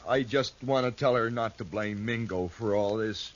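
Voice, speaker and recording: Actor playing a meek simple rancher. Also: male voice, lowish voice, normal speed, a little hiss or hum, natural voice. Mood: remorseful. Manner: pleading, apologetic, plain rural grammar. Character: simple-minded, gentle young horse rancher. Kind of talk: 1950s radio drama acting.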